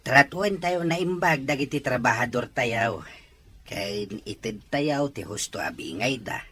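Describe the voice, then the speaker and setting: A man's voice: mid-range voice, animated, a little slow, pushed and bright, breathy edge, exaggerated voice. A man with an Ilocano accent playing a patient, fair-minded farmer father in an audio drama. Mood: earnest, gentle. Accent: Ilocano, from the Philippines.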